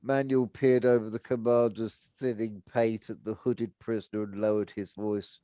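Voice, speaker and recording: A man's voice: lowish voice, level tone, normal speed, dark tone, clean tone, no echo. A man reading a story aloud unrehearsed, narrating and now and then stumbling over words and rereading. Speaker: reader of a book aloud.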